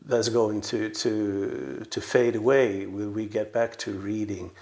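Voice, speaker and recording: A man's voice: on the low side, flat delivery, slow, a little mellow. A man in his sixties from Sweden speaking as an interview guest, telling anecdotes conversationally with hesitant, repeated words. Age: 60s. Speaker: interview guest, veteran publisher and editor.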